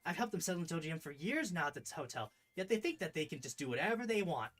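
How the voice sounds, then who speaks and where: A stern, weary female festival organiser from a visual novel, voiced by a streamer, grumbles briskly in a mid-pitched voice. The tone is matter-of-fact and exasperated. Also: lively intonation, fairly bright tone, close to an everyday voice.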